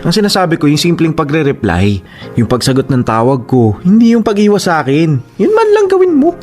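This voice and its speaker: A man's voice: fairly high pitch, wildly swooping pitch, a little fast, darkish tone. An actor playing a lovesick, insistent young man in a Filipino radio drama, pleading and teasing in a dramatic way. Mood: pleading, frustrated. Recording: music under the voice.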